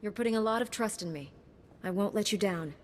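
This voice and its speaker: A woman voicing a composed, resolute knight in a video game cutscene, measured and confident. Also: medium pitch, a little hiss or hum, natural voice. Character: composed, resolute young female Jedi knight.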